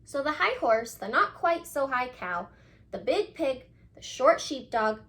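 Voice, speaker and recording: A woman with a fairly high voice and very lively intonation hosts a read-aloud for kids. She speaks straight to the children in a teacherly way, leaning on key words for emphasis. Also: unhurried. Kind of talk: expressive storybook reading and talk to children.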